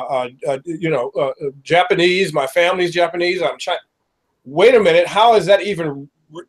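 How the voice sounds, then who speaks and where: A man hosting a casual interview podcast, rambling, with stumbles and repeated words. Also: fairly high pitch. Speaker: podcast host.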